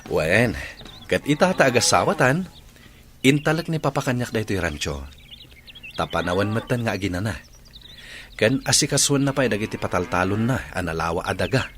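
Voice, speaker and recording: A man's voice: on the low side, expressive, normal speed, pauses often, a bit bright, slight background noise. A man with an Ilocano accent playing a devoted young rancher's son in a radio drama. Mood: tender, earnest.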